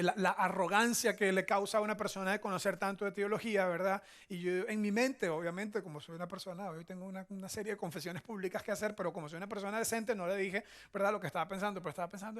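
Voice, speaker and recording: Venezuelan guy preaching, pretty high voice, teachy and conversational, keeps checking in with little questions. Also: fairly bright tone. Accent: Venezuelan Spanish. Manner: didactic, checks in often with short tag questions. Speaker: church pastor, preacher.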